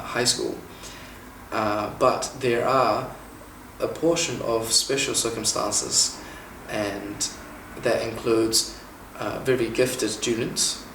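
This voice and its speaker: A man in his twenties from China, hosting an informal Q&A and explaining things in a measured way with frequent "uh" pauses. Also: on the low side, fairly bright tone. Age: 20s. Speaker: interviewer and former international student. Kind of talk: informal Q&A, explaining and asking questions. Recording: echoey room, mic at a distance, faint hiss.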